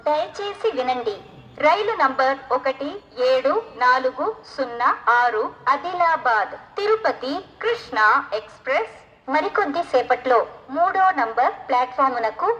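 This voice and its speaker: A woman giving formal, measured train announcements in segmented, pieced-together phrases over station loudspeakers, in a noisy recording. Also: sits high, dull audio. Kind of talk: formal multilingual train arrival and departure announcement.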